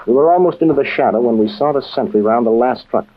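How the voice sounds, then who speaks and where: An actor playing a wry, determined American adventurer in an old radio drama, with a hard-boiled, colloquial way of talking. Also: male voice, medium pitch, fast, natural voice. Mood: narrating, suspenseful. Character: wry, determined American adventurer narrating his own story. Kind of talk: 1950s radio drama acting and narration. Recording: muffled, hissy old radio recording.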